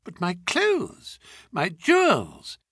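A man's voice: sits high, huge pitch swings, takes it slowly, fairly bright tone, slightly rough, no room sound, clean studio sound, pitched above the performer's own voice, full character voice. A man voicing a genteel, earnest and easily affronted lady in an audiobook story, with proper, formal speech. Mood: dismayed. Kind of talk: storybook character voice.

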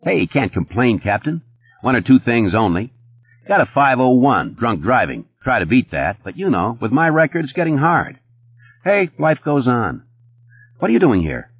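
A man's voice: medium pitch, big pitch swings, normal speed, breathy edge, no room sound, phone-quality sound, pitched above the performer's own voice. A man voicing a manic, jittery Japanese hustler who talks in chatty, clipped broken English. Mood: manic, jovial.

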